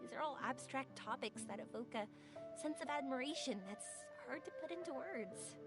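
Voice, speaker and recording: A woman's voice: high-pitched, lively intonation, normal speed. A woman playing an earnest, upbeat young woman on a journey in a video game, speaking candidly. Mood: surprised, modest. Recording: loud game music behind the voice. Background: game music.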